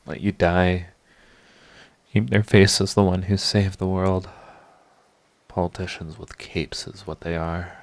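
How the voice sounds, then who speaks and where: A man plays a sardonic supervillain with a low-pitched voice in an intimate audio drama. He is theatrical and sarcastic, yet his halting delivery betrays a secret devotion to his rival. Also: little pitch movement. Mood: bitter, outraged. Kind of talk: intimate scripted audio roleplay monologue.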